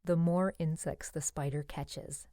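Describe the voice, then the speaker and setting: A woman reading a scripted educational book aloud for children in simple, clearly phrased sentences. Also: sits low, steady tone, a little slow, mellow, no room sound, studio quality. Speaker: children's audiobook narrator.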